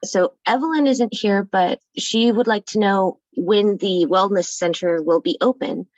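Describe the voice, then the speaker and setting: A woman's voice: medium pitch, a little slow, a little mellow, no echo, muffled recording. A woman chairing a student association meeting, calling roll and introducing questions in a casual, informal way. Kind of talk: running a meeting.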